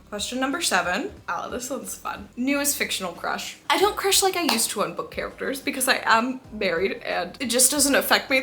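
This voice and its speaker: Bright-voiced, excitable woman chatting casually. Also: mid-range voice. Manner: gushing, excitable, emphatic. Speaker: book reviewer vlogger. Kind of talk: casual chat to viewers.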